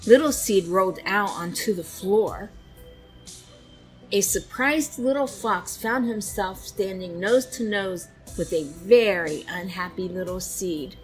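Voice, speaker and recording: A woman narrating a children's storybook with expressive, deliberate phrasing. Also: mid-range voice, low-quality recording.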